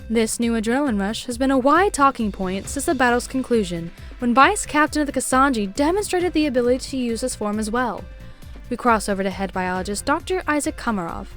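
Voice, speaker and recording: A woman playing a polished TV news anchor in an animated story, with formal, measured scripted delivery. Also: on the high side, soft background music. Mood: formal, composed.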